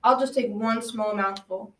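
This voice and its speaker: A woman's voice: medium pitch, steady tone, a little slow, a bit bright, lightly acted. A young adolescent girl playing a wary, wavering young male warrior, musing dramatically in a school radio drama. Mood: giving in. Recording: echoey room, distant microphone.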